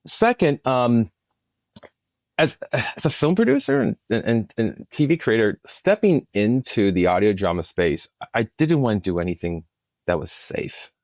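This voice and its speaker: A man's voice: medium pitch, big pitch swings, unhurried, darkish tone, dry recording, studio quality. A man speaking as a producer being interviewed, explaining things conversationally and repeating phrases for emphasis. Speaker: interview guest, film and audio drama producer.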